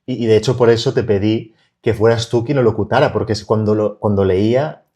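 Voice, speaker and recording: A man's voice: lowish voice, steady tone, brisk. A man with a Spanish accent from Spain, hosting a podcast interview in a casual, hesitant way, with frequent restarts. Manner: warm, hesitant, with many restarts. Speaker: podcast host. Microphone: studio microphone.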